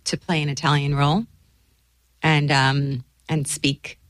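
A woman's voice: deep voice, level tone, measured pace, no room sound. A woman actress being interviewed, chatting in an easygoing way. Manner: chatty, easygoing, laughs readily. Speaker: actress guest. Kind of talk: casual interview chat.

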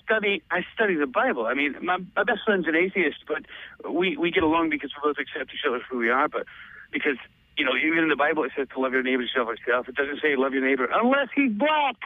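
A man in casual chat who rambles and thinks out loud as he talks. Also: a little high, lively intonation, quick pace, dry recording, narrow, tinny audio.

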